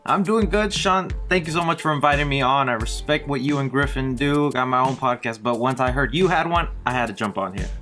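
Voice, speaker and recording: A man's voice: mid-range voice, fairly quick, few pauses, pushed and bright, soft background music. A man speaking as a podcast guest in a casual, conversational style. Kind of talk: casual movie discussion.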